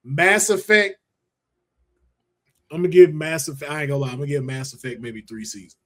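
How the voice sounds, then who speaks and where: An American man with a slightly high voice talks casually on a panel. He is opinionated and slangy, often repeating a phrase to drive it home. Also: fairly quick, a bit bright. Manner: colloquial, slangy, emphatic repetition. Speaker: podcast panelist judging picks.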